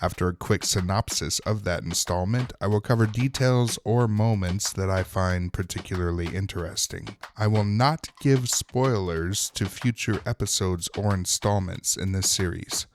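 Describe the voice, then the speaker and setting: Wry low-voiced man recapping. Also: moderate pace, hardly any pauses, slight background noise. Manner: wry, joking, colloquial. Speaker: host of a TV episode recap show. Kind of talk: casual recap narration with wry asides.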